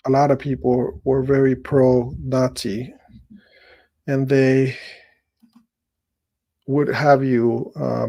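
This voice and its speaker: A man's voice: mid-range voice, creaky, dark tone, no echo. A middle-aged man from Chile, hosting a show, who reads an article aloud and then comments casually with many fillers and restarts. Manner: hesitant, with frequent fillers and restarts. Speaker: host.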